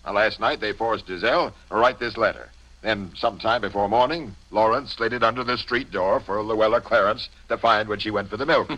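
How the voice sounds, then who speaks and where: A man's voice: lowish voice, fairly quick, sharp tone, poor audio. An American man playing a businesslike, authoritative police captain in an old radio crime drama. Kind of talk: 1950 radio acting. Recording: old 1950 radio broadcast recording.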